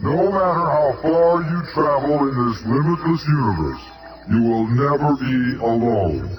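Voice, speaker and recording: An actor playing an immense, lofty alien being in a solemn, oratorical 1970s radio drama style. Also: male voice, mid-range voice, lively intonation, lo-fi sound, natural voice. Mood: solemn, benevolent. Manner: grandiose, slow, oratorical. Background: dramatic music.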